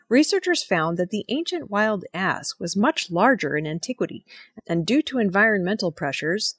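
A woman presenting scripted news stories in a measured, formal reading manner. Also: medium pitch, breathy edge, dry recording, studio quality.

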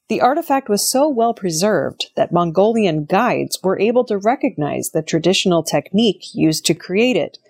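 A woman's voice: fairly low pitch, darkish tone. A woman news presenter reading scripted news narration.

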